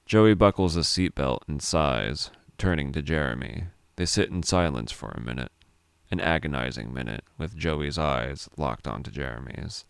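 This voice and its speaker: An extremely low-voiced man narrates a dramatic story. His voice is smooth and resonant, recorded close and dry. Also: normal speed, dry recording.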